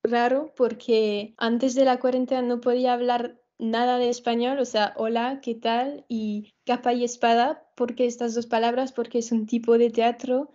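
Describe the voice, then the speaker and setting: Soft-spoken young Frenchwoman on a video call. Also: on the high side, flat delivery. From France. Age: 20s. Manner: soft-spoken, halting, with fillers. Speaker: guest, dancer. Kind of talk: conversational interview. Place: small bedroom with photos on the wall. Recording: dull video call audio.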